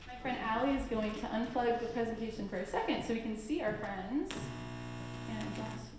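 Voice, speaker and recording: A woman's voice: on the high side, fairly quick. A woman emceeing a live panel on stage, upbeat and cheerful, laughing often. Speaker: event emcee. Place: large echoey event hall with audience. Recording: echoey hall, crowd and room noise.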